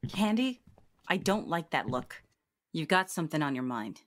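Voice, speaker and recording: A woman's voice: low voice, big pitch swings, normal speed, a little hiss or hum. An actress playing a tough, guarded female police detective with a terse, wry manner in a radio drama. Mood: stern. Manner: terse, hard-boiled, wry.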